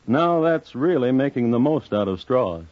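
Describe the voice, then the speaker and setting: An actor playing a wry, deadpan storyteller, narrating a 1950s radio crime drama. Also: male voice, medium pitch, a little slow, heavy background noise, lightly acted. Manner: deadpan, droll. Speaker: narrator and host. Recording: muffled vintage 1950s radio recording. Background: music.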